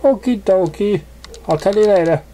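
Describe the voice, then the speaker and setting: A reader putting on a young female cartoon pony for a story, pitched well up. She is bubbly and excitable, chirping out her lines with a bouncy, exclaiming delivery. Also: moderate pace, a little hiss or hum, close to an everyday voice. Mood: let down.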